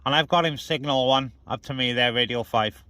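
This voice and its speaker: A man's voice: mid-range voice, brisk, very noisy. A man chatting casually as a CB radio operator and vlogger, speaking outdoors on a hilltop. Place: outdoors on a hilltop, light wind.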